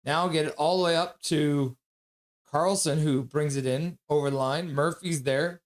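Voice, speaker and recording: A man's voice: a little high, fairly even intonation. Man calling hockey play-by-play live. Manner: reads chat messages aloud and chats back; quick, offhand running description of the action. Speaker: livestream host. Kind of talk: casual live play-by-play commentary.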